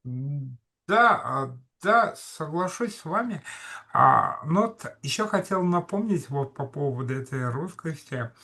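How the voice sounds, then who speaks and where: Bright, gravelly-voiced man hosting a talk. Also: on the high side, expressive. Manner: brisk, chatty, recalls memories. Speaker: host. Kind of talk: political conversation.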